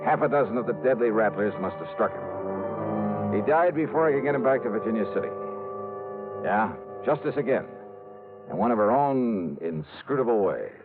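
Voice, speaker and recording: An actor playing a tough, wry American insurance investigator in a 1950s radio noir drama. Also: male voice, lowish voice, lively intonation, moderate pace, pauses often, narrow, tinny audio, close to an everyday voice. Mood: grim, wry. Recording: thin vintage radio broadcast, loud music.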